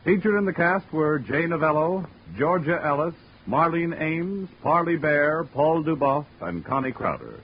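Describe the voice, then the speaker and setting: A man's voice: fairly high pitch, expressive, a little slow, few pauses, muffled recording, slight background noise. An American man working as a radio announcer, reading scripted credits and promotions in 1940s broadcast style. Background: music.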